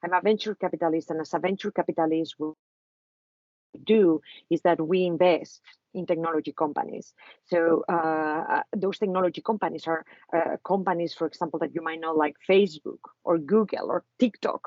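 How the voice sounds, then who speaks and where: A woman's voice: sits low, steady tone, a little fast, darkish tone, no echo. Spanish-accented woman on a muffled call. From Spain. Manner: hesitant, many fillers and restarts. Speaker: venture capitalist guest speaker. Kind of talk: online talk to students. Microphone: video call. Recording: muffled video call audio.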